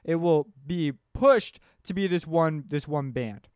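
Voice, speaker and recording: Clear, higher-voiced man teaching casually. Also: measured pace, darkish tone, no room sound, clean studio sound. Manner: informal, thinking aloud. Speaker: music production tutorial instructor. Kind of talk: casual explanatory screencast narration.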